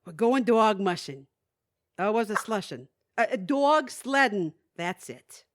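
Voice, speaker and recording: Woman acting animated, kvetching older widow. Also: mid-range voice, measured pace, lightly acted. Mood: sheepish, defensive. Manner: kvetching, folksy, Yiddish-peppered. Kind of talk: audio theatre comedy acting.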